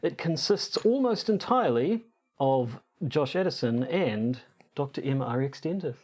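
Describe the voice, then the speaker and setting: A man's voice: medium pitch, lively intonation, unhurried, darkish tone. Dry-humoured New man co-hosting a podcast. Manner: dry, self-deprecating humour. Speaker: podcast co-host. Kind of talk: casual, bantering podcast chat. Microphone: lapel mic.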